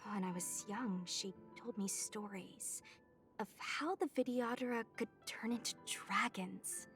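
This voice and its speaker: A woman's voice: mid-range voice, unhurried, fairly bright tone. A woman voicing a young female knight trained with swords, curious and blunt, in a video game. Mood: explaining. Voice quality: eager, chatty questioning. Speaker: game character. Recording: game audio with faint music behind.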